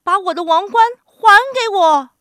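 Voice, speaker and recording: An indignant storybook elephant, voiced by a woman, loud and insistent. It booms out boasts in a bright, forceful tone with animated swings. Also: slow pace, dry recording, clean studio sound, full character voice.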